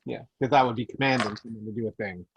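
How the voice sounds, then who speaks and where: A man running a tabletop role-playing game over a video call, narrating scenes slowly and descriptively. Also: medium pitch, fairly even intonation, muffled recording, a little hiss or hum. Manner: slow, drawn-out descriptive narration. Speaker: game master. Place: home room indoors. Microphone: video call webcam mic.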